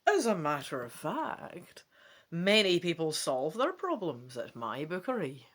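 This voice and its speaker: A woman acts out a kindly old female bookseller from a fantasy storybook. She speaks slowly and deliberately, stretching words with shrewd, mysterious theatricality. Her pitch swoops expressively. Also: very animated, full character voice. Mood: reassuring. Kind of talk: dramatic gamebook reading.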